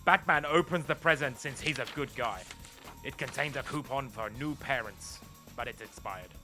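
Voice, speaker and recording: Male parody narrator, acted by a man, sharp cutting tone, straight-faced, declarative delivery of absurd superhero script. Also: medium pitch, normal speed, faint music behind, lightly acted. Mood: deadpan. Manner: deadpan, declarative.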